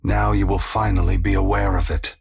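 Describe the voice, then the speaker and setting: An actor playing a weary, compassionate god in a video game, speaking in a grave, measured, formal way with a gravelly, husky voice. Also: male voice, deep voice, a little mellow, faint music behind. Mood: gentle, sorrowful. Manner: slow, measured, philosophical, formal. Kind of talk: video game voice acting, dramatic dialogue.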